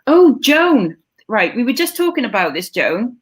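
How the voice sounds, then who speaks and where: A woman's voice: fairly high pitch, sing-song, unhurried, husky. A Welsh woman hosting a casual live stream, chatting in a rambling way with lots of fillers and restarts. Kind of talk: casual chat.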